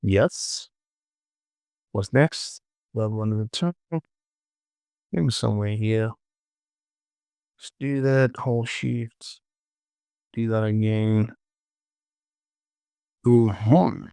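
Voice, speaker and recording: Male tutorial host, unhurried and croaky, casual self-muttering narration with repeated short phrases. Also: lowish voice, warm tone, no room sound, studio quality. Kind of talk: casual screen-recorded software tutorial narration.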